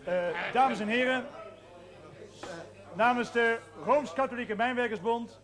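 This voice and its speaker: An actor playing a jovial, formal union man hosting a village film evening in a television drama, with a pompous, halting manner. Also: very high voice, crowd noise. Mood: formal, festive. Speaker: master of ceremonies. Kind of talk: ceremonial public address in a television drama. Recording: loud music behind, 1970s television soundtrack.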